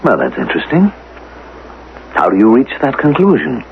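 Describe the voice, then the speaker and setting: A thin, hissy old radio broadcast frames a self-possessed male teacher from the future. His low voice is gravelly and leaps widely in pitch. He is precise and probing. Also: wildly swooping pitch, moderate pace, narrow, tinny audio. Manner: precise, questioning, coolly assured. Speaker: actor in a radio drama. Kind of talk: 1980 radio drama acting.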